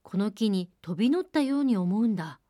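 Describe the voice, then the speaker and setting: A woman's voice: medium pitch, lively intonation, brisk, mellow, dry recording, clean studio sound, close to an everyday voice. A woman voicing a courteous, insistent young prince in a storybook reading. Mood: earnest.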